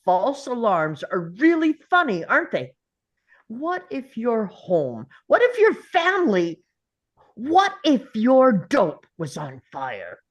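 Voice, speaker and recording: Woman playing a slow, menacing male school principal. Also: fairly high pitch, huge pitch swings, heavily acted. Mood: mocking, scornful. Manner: taunting, contemptuous, drawn-out goading. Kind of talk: table read of a film script.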